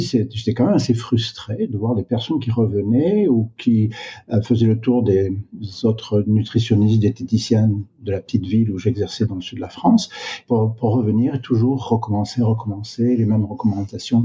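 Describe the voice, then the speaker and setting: An older man talks slowly, stopping often to search for his words. His voice is very dark and mellow. The recording is dull and lo-fi. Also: fairly low pitch. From Canada. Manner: slow, reflective, many hesitations. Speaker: guest, doctor. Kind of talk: interview answers. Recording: dull, lo-fi sound.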